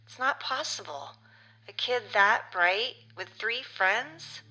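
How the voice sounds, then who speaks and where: A middle-aged actress playing a grieving mother of a missing son, halting and emotional, in a naturalistic audio drama interview. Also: fairly high pitch, lively intonation, bright, forceful tone, full character voice, rough recording. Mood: insistent, pained.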